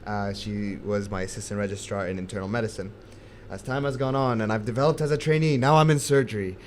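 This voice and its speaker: A man's voice: on the low side, fairly even intonation, fast. Chatty young man hosting a podcast. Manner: chatty, frequent filler words and laughter. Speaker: podcast host. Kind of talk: casual podcast chat. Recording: faint background noise.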